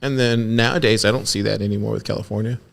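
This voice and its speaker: A man's voice: lowish voice, steady tone, creaky, a bit bright. A man from Texas in a casual talk, asking questions and telling stories about growing up. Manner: chatty, questioning, drawn-out storytelling. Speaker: podcast co-host. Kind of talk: casual conversational discussion.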